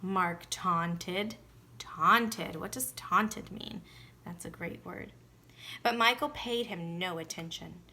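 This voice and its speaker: A woman teacher reading a storybook aloud expressively, with deliberate emphasis and asides to children. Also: on the low side, bright, forceful tone, a little hiss or hum.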